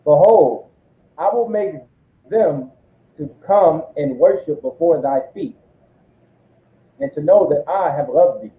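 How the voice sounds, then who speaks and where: A man's voice: on the high side, expressive, slow, long pauses. A man assisting a preacher as scripture reader, reading verses aloud and answering him. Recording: tinny phone-like line with roomy echo.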